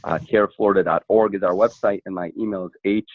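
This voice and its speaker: A low-voiced man talks as a panelist in an online discussion. He is earnest and halting, circling back over his words. The audio is narrow and phone-like, with little room sound. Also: steady tone, dry recording. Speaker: panelist, civil rights attorney and activist. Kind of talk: earnest panel talk on a live online town hall. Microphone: internet call.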